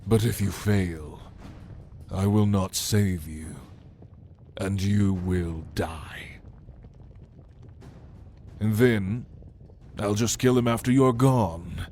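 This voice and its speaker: Male actor as imperious demon mentor, low and unhurried, cold authority, fantasy audio roleplay, noisy ambience behind. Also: dark tone. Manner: slow, measured, imperious. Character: commanding, cold, calculating male demon lord mentoring a newborn. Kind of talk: ASMR fantasy roleplay acting.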